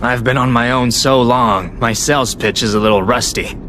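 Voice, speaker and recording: A man's voice: mid-range voice, normal speed, bright, forceful tone, slight background noise, close to an everyday voice. A man playing a weary, rueful lone merchant in a video game, wry, gloomy and hesitant in conversation. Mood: weary, hopeful.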